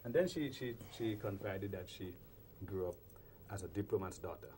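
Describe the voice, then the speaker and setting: A man's voice: lowish voice, expressive, normal speed, mellow. Guy interviewing a poet, lots of yeah and mm-hmm, bit of hum behind. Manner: encouraging with frequent backchannel replies. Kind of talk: conversational interview.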